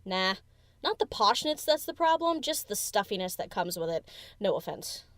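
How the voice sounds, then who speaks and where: Brash young female pony DJ, played by a woman, animated and slangy, fan audio drama, close dry recording. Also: mid-range voice, moderate pace, dry recording. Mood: easygoing. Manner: casual, slangy, cheeky.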